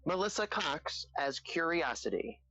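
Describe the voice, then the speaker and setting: Low, creaky-voiced woman announcing, slow. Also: expressive, a bit bright, highs cut off. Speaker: announcer reading cast credits. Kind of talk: scripted credits announcement.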